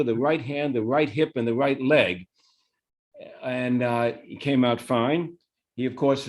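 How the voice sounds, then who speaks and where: A man moderating a live conversation, introducing a guest and asking questions informally, with a slightly halting, conversational delivery. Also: medium pitch, darkish tone. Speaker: moderator, interviewer.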